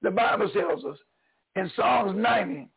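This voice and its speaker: Older Southern preacher, hoarse and gravelly, high lively voice, phone-line sound. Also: male voice, normal speed. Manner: preacherly, swings between loud and soft. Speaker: pastor. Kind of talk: encouraging sermon.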